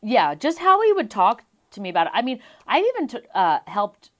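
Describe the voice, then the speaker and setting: A young adult woman from Las Vegas, a singer being interviewed, telling her stories in an animated way and laughing often. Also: fairly high pitch, brisk. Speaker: guest singer. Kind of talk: casual interview chat.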